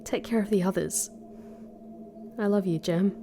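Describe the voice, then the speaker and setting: A woman's voice: medium pitch, a little slow, noisy background, lightly acted. A woman playing a wry, defiant, protective heroine in a fantasy comedy audio drama, plain-spoken and sardonic. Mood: resigned, tender.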